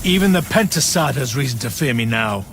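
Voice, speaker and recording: A man playing a smug, ruthless villain in a video game, speaking in a measured, condescending, theatrical way. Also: medium pitch, animated, heavy background noise, close to an everyday voice. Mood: smug menace. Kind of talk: cinematic video game acting. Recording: loud music under the voice.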